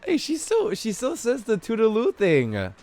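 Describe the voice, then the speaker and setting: A man's voice: high voice, huge pitch swings, measured pace, a little mellow. A man giving casual live commentary as a game streamer, blunt and informal, with some swearing. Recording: faint game music behind.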